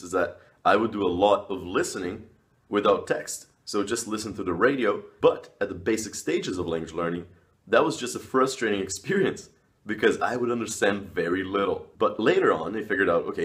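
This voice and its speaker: A man with a deep, low voice talks straight to his viewers in a language-learning vlog. He speaks in an earnest, measured way, often pausing on "uh" and "you know", his clear throughout. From Brazil. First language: Portuguese. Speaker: language vlogger. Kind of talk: talking-head video advice.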